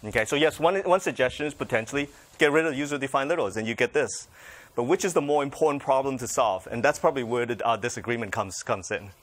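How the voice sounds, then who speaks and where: Man, conference speaker, Q&A, measured, conciliatory, quick to laugh. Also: medium pitch. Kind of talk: answering audience questions after a talk.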